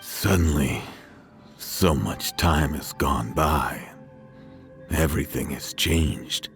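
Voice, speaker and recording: An actor voicing a weary, regretful old village man in a video game, speaking haltingly. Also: very deep voice, soft background music, exaggerated voice. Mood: wistful, gentle. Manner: halting, hesitant.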